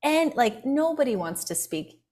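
A woman hosting a casual conversation, relaxed and curious, trailing off softly at the ends of her sentences. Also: sits high, unhurried. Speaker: podcast host.

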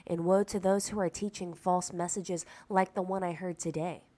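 A woman's voice: medium pitch, darkish tone. A woman hosting a preaching-style podcast, earnest and emphatic, a little sick, checking in with the listener through rhetorical asides. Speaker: podcast host.

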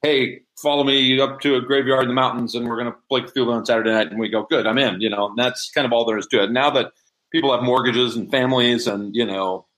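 A man's voice: mid-range voice, fairly even intonation, fast talker, fairly bright tone, rough recording. A middle-aged man answering interview questions in a casual, explanatory way. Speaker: interview guest, member of a hobbyist society.

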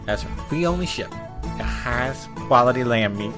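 A man's voice: mid-range voice, expressive, moderate pace, natural voice. A man playing a folksy, boastful, patriotic American farmer in a parody radio commercial. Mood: proud, folksy. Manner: folksy, boastful salesman patter. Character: folksy patriotic male farmer pitching lamb chops. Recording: music behind the voice. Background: guitar music.

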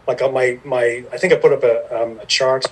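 Husky man in his fifties. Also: mid-range voice, fairly even intonation, fairly quick, low-quality recording. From Ireland. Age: 50s. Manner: self-deprecating, rambling with many restarts. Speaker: podcast guest. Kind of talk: casual storytelling interview.

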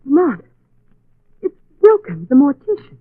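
A spirited detective's lady friend in a 1940s radio mystery. Her expressive female voice is high and swoops lively up and down, through a thin, muffled vintage recording. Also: unhurried, a little hiss or hum. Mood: earnest, urgent. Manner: bright-witted, expressive. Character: spirited, teasing young lady companion of a detective.

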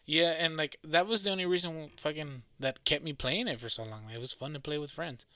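A man chatting casually about video games with friends, animated, swearing freely and laughing often. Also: on the high side, a little fast, smooth, no room sound. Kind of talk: casual gaming chat.

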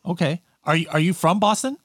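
A man's voice: high-pitched, brisk, a bit bright, no room sound, clean studio sound. A man hosting a podcast in casual interview chat, upbeat and talkative, with frequent restarts. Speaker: podcast host.

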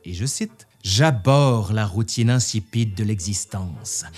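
A man presenting history in scripted narration, reading out quotations in an articulate, expressive way. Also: fairly low pitch, slow pace, soft background music.

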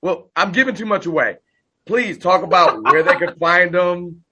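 Hoarse, high-energy man. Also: normal speed, sharp tone, muffled recording. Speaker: podcast guest. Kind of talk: casual podcast chat.

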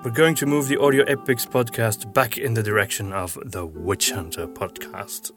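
A man's voice: on the low side, fairly even intonation, normal speed, fairly bright tone, faint music behind. A man hosting a podcast, explaining news and projects to listeners in a conversational way. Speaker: podcast host and audio drama writer.

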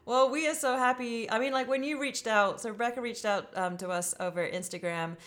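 A woman's voice: fairly high pitch, a little fast, a bit bright. Woman in her forties, podcast co-host, bubbly, rambling, lots of fillers. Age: 40s. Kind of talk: casual podcast chat.

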